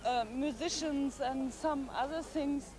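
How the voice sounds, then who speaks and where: A woman in her thirties from East Germany with a German accent, answering haltingly in a second language in a street interview. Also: high voice, steady tone. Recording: outdoor street, loud crowd.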